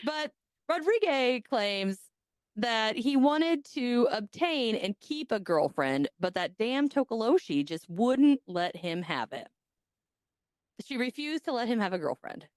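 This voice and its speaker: A bawdy woman co-host chats through a story on a podcast, her pitch swinging widely as she jokes and laughs. Her voice sits fairly high, and the recording is dry and close. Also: big pitch swings, unhurried, dry recording. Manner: bawdy, joking, laughs often. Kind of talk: casual podcast chat retelling a story.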